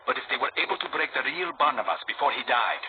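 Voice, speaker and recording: A man playing a calm, stern, guarded assassin hero in a video game, speaking in measured, terse lines. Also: mid-range voice, lightly acted. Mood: stern, urgent. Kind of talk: video game character acting. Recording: tinny band-limited game audio, noisy.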